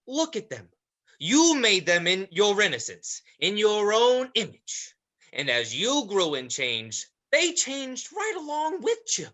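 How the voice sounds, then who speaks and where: A woman's voice: mid-range voice, wildly swooping pitch, slow pace, fairly bright tone, full character voice. A woman playing a sardonic, menacing ringleader creature in a stage play reading, theatrical, scornful and commanding.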